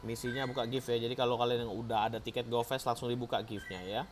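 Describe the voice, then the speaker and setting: A man's voice: medium pitch, level tone, very fast, slight background noise. A young man from Indonesia with an Indonesian accent, chatting casually on a live game stream, switching between slang and English and reacting playfully to viewers. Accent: Indonesian, Palembang. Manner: playful, reads and reacts to chat. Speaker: gaming streamer. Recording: music playing underneath.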